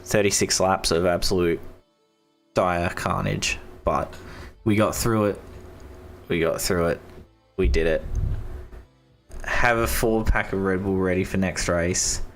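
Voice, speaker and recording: A young man with an Australian accent, giving casual, enthusiastic live commentary on an online racing league, his voice hoarse and going. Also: sits low, fairly even intonation, unhurried, long pauses, soft background music. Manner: enthusiastic, repeats praise, casual slang. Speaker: esports race commentator.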